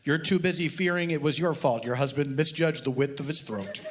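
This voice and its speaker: A man's voice: on the high side, a little fast, background noise, natural voice. A man voicing a grave, self-important retired pilot turned detective in a comic story. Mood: solemn, condescending. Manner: grave, pompous.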